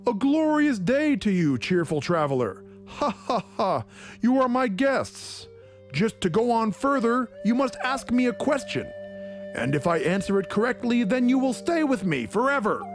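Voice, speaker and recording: A man's voice: extremely high pitch, sing-song, normal speed, soft background music, voice pushed up, heavily acted. A man voicing a gleeful, mocking male evil spirit that bursts into laughter, in a storybook reading.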